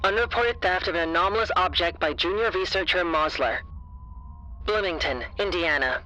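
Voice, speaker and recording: A man's voice: sits high, moderate pace, sharp tone, poor audio. An actor playing an impersonal male official who reads out an incident report in an audio drama, formal, clipped and detached. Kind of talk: scripted bulletin in an audio drama.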